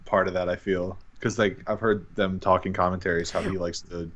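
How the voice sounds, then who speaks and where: A man's voice: low-pitched, flat delivery, brisk, a crowd in the background. A man chatting casually and enthusiastically as a podcast guest, in an informal way. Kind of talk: casual chat.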